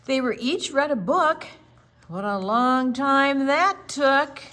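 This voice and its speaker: A woman's voice: high voice, slow, pushed and bright. A woman reading a picture book aloud to young children, expressively, with emphatic words and little explanations along the way. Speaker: children's storytime reader.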